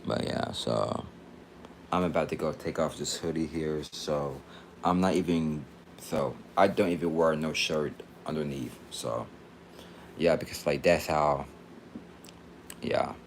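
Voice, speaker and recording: A man's voice: very deep voice, measured pace, vocal fry, pauses often, slight background noise. A man in his late twenties from Texas, chatting casually on a livestream in a rambling, repetitive, slangy way. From Texas, United States.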